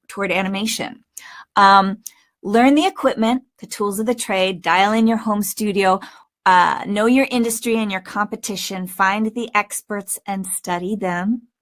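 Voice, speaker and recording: A woman with a medium-pitched voice talks as a coach to aspiring performers. Her teaching is informal and emphatic. She leans on key words with deliberate pauses and drops in conversational asides. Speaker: voice acting coach. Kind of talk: informal instructional talk.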